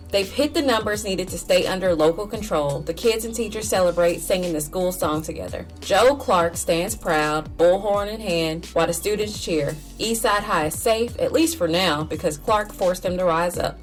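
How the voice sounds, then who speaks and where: A woman hosting a movie recap, giving scripted narration and commentary. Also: lowish voice. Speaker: movie recap host and reviewer.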